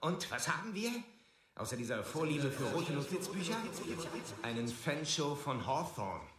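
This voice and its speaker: An actor playing a skeptical, probing literary friend in a German radio play, questioning and needling. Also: male voice, fairly high pitch, big pitch swings, normal speed, over a noisy crowd. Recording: echoey room, faint noise.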